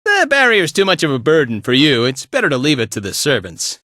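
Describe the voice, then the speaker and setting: A man's voice: fairly high pitch, huge pitch swings, brisk, pushed and bright, dry recording, clean studio sound, heavily acted. A man playing a spirited, laid-back fighter and guide in a video game, with a breezy, colloquial way of talking. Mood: easygoing, instructive. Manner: breezy, colloquial, tagging lines with casual check-ins. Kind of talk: animated video game voice acting.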